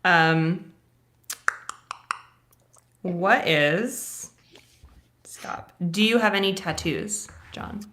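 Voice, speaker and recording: A woman in her thirties whose first language is Russian, reading viewer questions and telling self-mocking stories in a lively way. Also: lowish voice, extremely slow, long pauses, a little hiss or hum. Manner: animated, self-mocking storyteller. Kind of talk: casual live Q&A chat.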